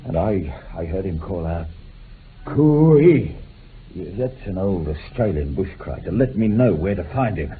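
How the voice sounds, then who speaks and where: An actor playing a remorseful, dying old former bushranger in a radio drama, making an impassioned, halting confession. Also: male voice, low-pitched, big pitch swings. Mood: desperate. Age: older. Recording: muffled phone-like old radio recording, hiss.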